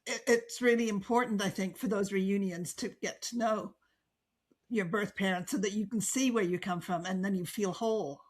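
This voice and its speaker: A woman's voice: medium pitch, steady tone, normal speed. Middle-aged woman, podcast host, reflective, casual, lots of fillers. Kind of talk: casual interview chat.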